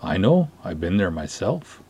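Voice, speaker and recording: A man puts on an easygoing canoe cop buddy for a storybook reading. The voice is husky and lifted a little, with a folksy, teasing delivery. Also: sits low, big pitch swings, unhurried, warm tone, full character voice. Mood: amused. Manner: folksy, joshing.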